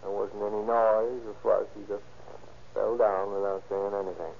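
A sullen, simple-minded killer from a 1930s radio drama. He talks haltingly in a creaky, mid-pitched voice, on a dull recording with background hiss. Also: little pitch movement. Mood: flat, matter-of-fact. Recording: muffled, noisy old radio recording.

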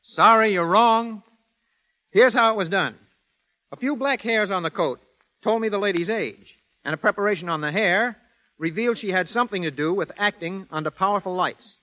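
A man gives a prepared, explanatory talk on 1930s radio. His voice is high and bright with precise delivery, and the recording is dull and lo-fi. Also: normal speed. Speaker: guest detective.

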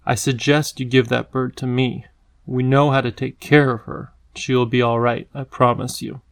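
A man voicing a towering, irritable but soft-hearted royal official in a storybook, brisk and grave. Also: mid-range voice, gravelly, lightly acted. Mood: grave, firm.

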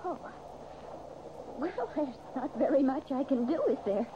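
Plucky young secretary, high voice, flustered, wry, old radio drama, heavy hiss. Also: female voice, lively intonation, measured pace. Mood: nervous, plucky. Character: frightened but plucky young secretary suspected of killing. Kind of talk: 1940s radio mystery acting. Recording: muffled, noisy old radio recording.

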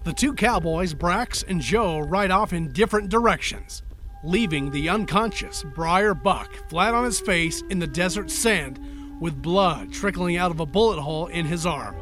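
A man's voice: sits high, expressive, normal speed. An American man working as announcer, reading scripted narration for an old-time style radio serial. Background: loud music.

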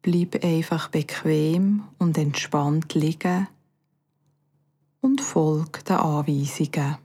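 A woman speaks Bernese Swiss German in a low, mellow voice, leading a guided relaxation. Her delivery is very unhurried and soothing, with long pauses between short instructions. Phrases often trail off softly into breath. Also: breathy edge. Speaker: yoga nidra instructor. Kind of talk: guided meditation.

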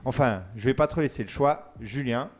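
A man's voice: mid-range voice, moderate pace. A man hosting a casual student radio show, chatty and joking as he teases his guests. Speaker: host.

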